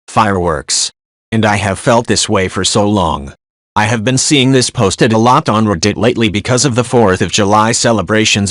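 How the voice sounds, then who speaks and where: A man reading forum posts aloud in an even read-aloud delivery, saying symbols out literally. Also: on the low side, dry recording, studio quality.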